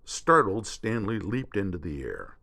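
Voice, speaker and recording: A man giving an expressive, dramatic reading of a children's story in a deliberate way. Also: on the low side, gravelly. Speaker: storybook reader.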